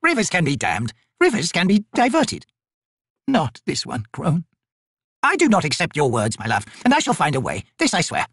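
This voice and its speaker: A narrator voicing a great old female raven spirit, tender yet stubborn, speaking slowly and soothingly in a storybook. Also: low voice, huge pitch swings, husky, dry recording, clean studio sound, pitched above the performer's own voice, exaggerated voice.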